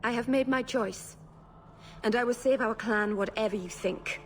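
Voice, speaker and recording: A woman's voice: on the high side, normal speed, slight background noise, natural voice. A woman acting a nervous, rambling young elf mage. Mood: defensive, regretful. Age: young adult. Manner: hesitant, apologetic, self-interrupting babble. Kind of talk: video game voice acting.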